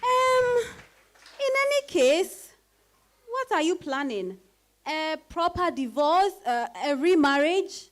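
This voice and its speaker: Actress as a high, lively, frank Ghanaian best friend. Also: female voice, slow, heavily acted. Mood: challenging. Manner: emphatic, chiding, sisterly. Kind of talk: dramatized reading of a novel.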